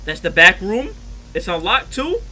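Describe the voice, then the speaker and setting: A man hosting a casual reaction video, excitable and exclaiming at what he watches, talking straight to his viewers. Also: sits high, unhurried, rough recording.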